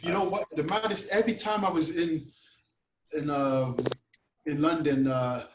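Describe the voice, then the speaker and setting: Animated Toronto man on a roomy call. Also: fairly high pitch, measured pace, background noise. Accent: Toronto, Caribbean-influenced. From Canada. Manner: animated storytelling, lots of "you know what". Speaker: podcast guest, grime artist. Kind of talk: casual chat over video call. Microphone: video call.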